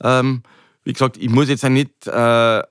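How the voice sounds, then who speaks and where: A man's voice: medium pitch, flat delivery, moderate pace, clean tone, no room sound. Austrian man, hesitant talker, close dry sound. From Carinthia, Austria. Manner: hesitant, repeats words while searching for phrasing. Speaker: chef, interview guest. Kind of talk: conversational interview.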